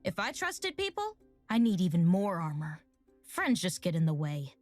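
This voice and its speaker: A woman's voice: lowish voice, wildly swooping pitch, moderate pace, dry recording, soft background music. Bitter, defiant teenage warrior girl. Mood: hard, guarded. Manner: sardonic, blunt. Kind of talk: video game voice lines.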